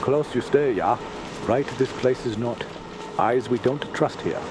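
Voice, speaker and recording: An actor playing a weary, haunted sea captain who tells his grim tale in halting, inverted phrases in a radio drama. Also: medium pitch, very noisy, close to an everyday voice. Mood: grim, uneasy. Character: haunted, weary Scandinavian sea captain recounting a dreadful voyage. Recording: loud sea and boat ambience behind.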